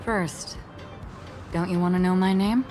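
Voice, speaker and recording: A woman performs a mysterious female wanderer from a video game. Her voice sits low for a woman and stays calm, soft-spoken and reflective. Music plays faintly behind her. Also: measured pace, lightly acted. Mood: composed, guarded.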